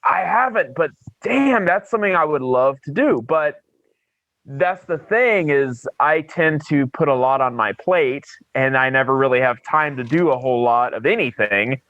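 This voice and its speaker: A man's voice: a little high, lively intonation, normal speed, a bit bright, dry recording. A man hosting a podcast over a video call, chatting casually and eagerly in plain informal language. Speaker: podcast host. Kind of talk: casual chat. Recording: slightly muffled dry call audio.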